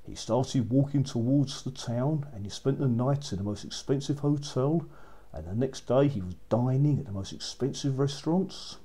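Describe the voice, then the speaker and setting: A man's voice: mid-range voice, moderate pace, mellow, husky. A man narrating a fairy tale for children as a storyteller, repeating phrases for effect.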